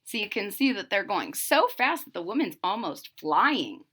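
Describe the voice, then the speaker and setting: A woman's voice: mid-range voice, husky. A woman reading a storybook aloud to young children, expressively, with direct asides to the listeners. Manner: deliberate, animated, addressing children directly. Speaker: storybook reader.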